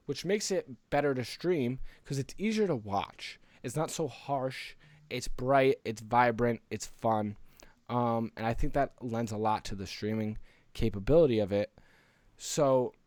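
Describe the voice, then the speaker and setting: A man's voice: mid-range voice, a little mellow, dry recording. A man co-hosting a casual gaming podcast, talking in a conversational, opinionated way as he explains his views. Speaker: podcast co-host.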